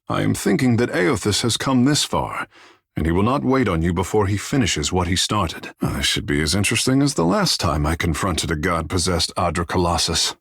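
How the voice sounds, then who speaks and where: Vain young demigod artist for a fantasy game, deep n husky, all lofty and poetic. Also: male voice, no room sound, studio quality, exaggerated voice. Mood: resolute, grave. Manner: grandiose, self-regarding, lyrical and formal. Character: vain, theatrical young male demigod water shaper and artist. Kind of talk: video game character dialogue.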